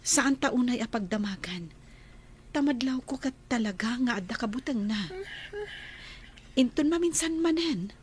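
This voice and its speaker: An actress playing a gentle, patient woman who coaxes a child to talk and murmurs her thoughts aloud. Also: a little high, lively intonation, a little slow, pauses often, a little hiss or hum. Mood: explaining. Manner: soft, coaxing, often whispered asides.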